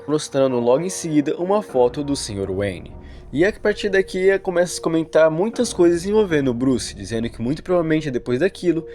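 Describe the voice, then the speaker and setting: A man's voice: on the high side, mellow. A man with a Brazilian accent narrating an explanatory video analysis in a wordy, explainer manner.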